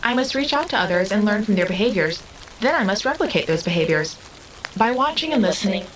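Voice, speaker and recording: A woman acts out an otherworldly young female being, curious and restless. She speaks in stiff, precise, formal phrases at a middle pitch. A steady rain-like noise sits faintly behind her in this audio drama. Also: a little fast, lightly acted, rough recording. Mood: earnest, searching. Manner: formal, stilted, precise diction.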